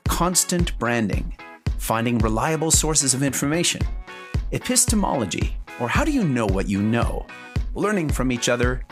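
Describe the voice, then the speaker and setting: A man's voice: medium pitch, sing-song, normal speed, faint music behind. A middle-aged man hosting an interview show, introducing topics and putting questions to guests. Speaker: podcast host.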